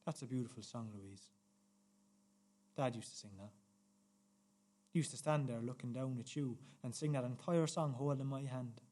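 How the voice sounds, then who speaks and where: A man's voice: medium pitch, normal speed, pauses often, dark tone, natural voice. An actor playing a volatile, bitter, menacing brother in a radio play, brooding and needling as he speaks. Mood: taunting, nostalgic.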